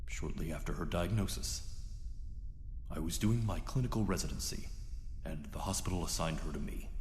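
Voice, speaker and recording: An actor playing a cold, calculating doctor in a radio drama, measured, clinical and ominous. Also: male voice, sits low, close to an everyday voice. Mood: bitter, grave. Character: cold, calculating male doctor running a sinister institute. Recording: echoey reverberant hall, some noise.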